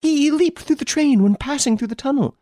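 Shrill stammering frantic lady, as a man reads her. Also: moderate pace, no echo, clean studio sound. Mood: frantic, breathless. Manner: stammering, panting, high and excited. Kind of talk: audiobook character voice.

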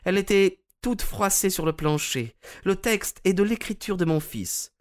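A man voicing a pale, frail older widow in an audiobook, speaking softly and plaintively in a raised voice. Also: high voice, lively intonation, normal speed, pitched above the performer's own voice. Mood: weary plaintive. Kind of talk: audiobook character reading.

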